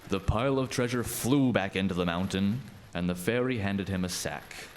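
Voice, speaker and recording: A man narrating a scripted radio play tale as an expressive, dramatic storyteller. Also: deep voice, moderate pace, slight background noise.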